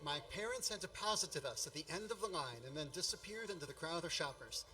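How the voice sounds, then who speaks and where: A man's voice: a little high, animated, quick pace, bright, forceful tone, a little hiss or hum, rough recording. A man playing a grown-up narrator in a radio play, wryly and grandly recalling his boyhood in mock-epic style.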